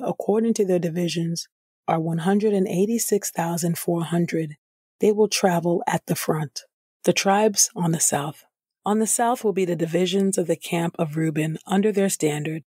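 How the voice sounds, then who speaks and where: Female scripture reader, husky, measured and formal, dry recording. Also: fairly low pitch, dark tone, dry recording. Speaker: podcast host and scripture reader. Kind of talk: scripted Bible reading.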